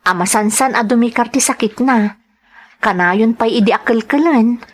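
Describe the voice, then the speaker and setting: A woman playing a gentle, dutiful young woman in love in a radio drama, with an Ilocano accent. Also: fairly high pitch, normal speed, lightly acted. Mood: concerned, surprised. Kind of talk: radio drama acting and first-person narration.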